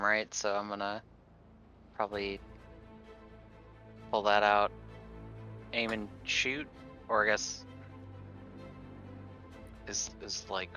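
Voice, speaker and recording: A man's voice: fairly low pitch, sharp tone. A man chatting casually as a tabletop game player, thinking aloud a bit hesitantly. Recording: music playing behind the voice.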